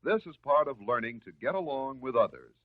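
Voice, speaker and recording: An American man delivering scripted narration in the style of a 1950s educational film, measured, instructive and reassuring. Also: medium pitch, animated. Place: voice-over recorded for film. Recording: muffled, narrow-band 1950s film soundtrack.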